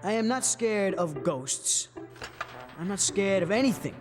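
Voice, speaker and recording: Cocky high-voiced young ghost hunter. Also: male voice, lively intonation, unhurried, big-room sound, music in the background. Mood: defensive. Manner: brash, boastful, slangy. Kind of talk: animated series dialogue.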